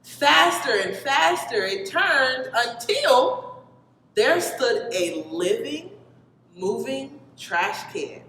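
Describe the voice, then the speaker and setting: A woman reading a children's story aloud in an animated storytelling style. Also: high-pitched, very slow. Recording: reverberant hall with background noise.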